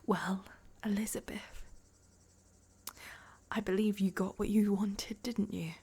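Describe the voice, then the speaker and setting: A woman playing a proud, betrayed royal wife in a dramatic fantasy roleplay, indignant and heartbroken, speaking in a breathy, half-whispered, accusing way. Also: mid-range voice, level tone, slow pace, warm tone, heavily acted. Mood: indignant, bitter.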